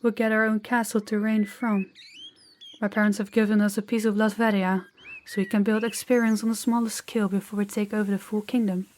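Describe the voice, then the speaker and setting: A woman playing a gentle, candid young princess in a roleplay audio drama, speaking softly and confidingly to the listener. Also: mid-range voice, little pitch movement, fairly quick, a little hiss or hum, lightly acted. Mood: frank, reassuring.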